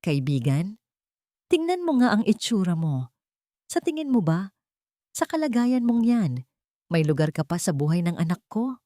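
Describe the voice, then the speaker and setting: Lady doing a cold bossy rich dad in an audio story, lowish and soft-edged. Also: very animated, moderate pace, long pauses, no echo, studio quality. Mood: mocking. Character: proud, cold, wealthy middle-aged father.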